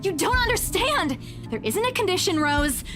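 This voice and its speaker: Very high, flustered young woman. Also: very animated, moderate pace, fairly bright tone, faint music behind, heavily acted. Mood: frightened pleading. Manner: flustered, hesitant, pleading. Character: anxious, earnest young female estate agent. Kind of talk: visual novel voice acting.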